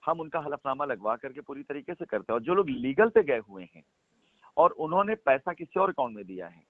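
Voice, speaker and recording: A man's voice: medium pitch, very animated, brisk, no room sound. A man on a phone call, speaking for a company, making his points insistently and repeating them for emphasis. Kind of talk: persuasive phone conversation. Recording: tinny phone line.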